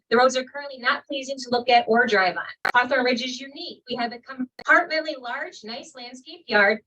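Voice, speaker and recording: A woman on a village council reading residents' letters into the record, in a loud, clear voice at a public meeting. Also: fairly high pitch. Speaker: council member. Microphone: distant from the microphone. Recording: speaker far from the mic.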